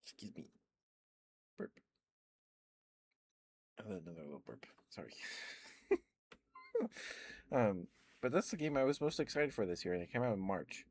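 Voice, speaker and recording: Very deep-voiced man rambling softly. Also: big pitch swings, normal speed, pauses often. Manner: soft-spoken, meandering, with stammered restarts and fillers. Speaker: ASMR streamer. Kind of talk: rambling ASMR chat. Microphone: close microphone.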